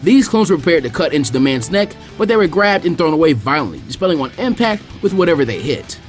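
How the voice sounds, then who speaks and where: A man's voice: on the high side, soft background music. A man reading a fan story aloud as a storyteller. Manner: fast, lively storytelling. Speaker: fanfiction reader.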